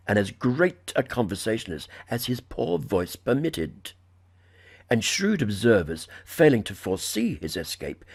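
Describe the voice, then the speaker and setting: Deep-voiced, husky man reading gothic horror. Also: darkish tone. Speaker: audiobook narrator. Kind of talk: dramatic literary horror story reading.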